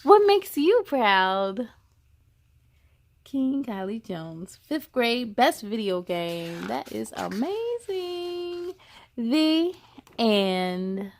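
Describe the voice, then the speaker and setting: A woman reading a storybook aloud as a children's host, animated and speaking directly to young listeners. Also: a little high, extremely slow, slight background noise. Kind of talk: expressive storybook read-aloud with chatty asides to children.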